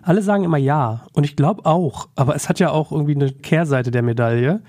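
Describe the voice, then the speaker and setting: A man's voice: fairly high pitch, brisk, mellow. A man hosting a casual interview, asking informal, personal questions in a conversational way. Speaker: interview host.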